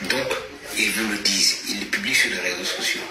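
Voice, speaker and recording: A man's voice: lowish voice, a little fast. A man giving insistent political commentary and explaining things step by step. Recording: echoey, distant mic, loud background noise.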